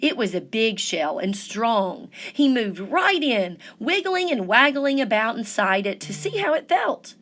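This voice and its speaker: A woman reading a picture book aloud in expressive, deliberate storytelling style. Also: a little high. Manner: deliberate, animated storytelling emphasis. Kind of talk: expressive children's story narration.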